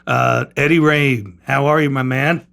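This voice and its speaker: A man's voice: medium pitch, steady tone, measured pace, gravelly, fairly bright tone. A middle-aged man hosting a podcast from a gym, chatting loosely and joking with lots of fillers. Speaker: podcast host and trainer. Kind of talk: casual podcast chat.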